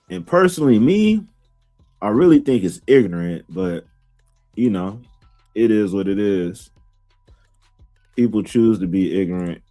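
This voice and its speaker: Slangy guy commenting to viewers, pitch jumping around. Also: warm tone. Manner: slangy, addresses viewers directly. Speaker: show commentator. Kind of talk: commentary to camera.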